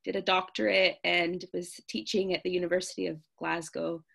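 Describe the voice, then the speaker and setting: A woman's voice: fairly low pitch, little pitch movement, normal speed, gravelly, fairly bright tone. Chatty American woman poet. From California. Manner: chatty, hesitant fillers. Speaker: guest poet. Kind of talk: festival introduction over video call.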